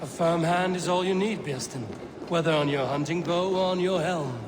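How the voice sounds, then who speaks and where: A man playing a stern, confident Norse warrior advisor in a video game, speaking in a blunt, measured way. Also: a little high, background noise, lightly acted. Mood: dry. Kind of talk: dramatic video game acting.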